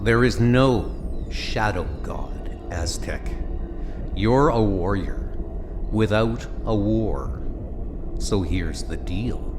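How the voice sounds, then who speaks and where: A man playing a smug, cold-blooded billionaire villain in a comic book audio drama, deliberate, sardonic and menacing. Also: fairly low pitch, expressive, noisy background. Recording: music under the voice. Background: music.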